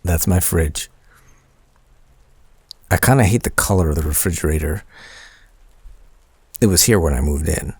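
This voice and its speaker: A man playing a shy, sentimental young man in an intimate boyfriend audio roleplay, speaking hesitantly and teasingly with a creaky voice. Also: sits low, measured pace, long pauses, no room sound, heavily acted. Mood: bashful, intimate. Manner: hesitant, soft-spoken teasing, stammering.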